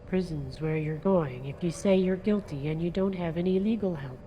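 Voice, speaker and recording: Lady doing a boston detective who won't let it go, lowish warm voice, bit of hiss. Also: normal speed, lightly acted. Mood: firm. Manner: probing, insistent questioning. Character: sharp, persistent female police detective. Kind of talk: amateur radio drama acting.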